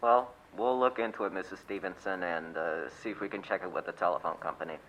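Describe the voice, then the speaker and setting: A man's voice: deep voice, quick pace, fairly bright tone, slight background noise. A man playing an unimpressed, patronising police desk officer in a suspense radio play, matter-of-fact, with muffled sound. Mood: bored, placating.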